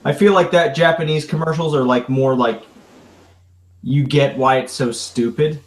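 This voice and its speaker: A young man co-hosting a casual podcast, speaking in dry, deadpan remarks and loose banter. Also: on the high side, normal speed. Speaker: podcast co-host.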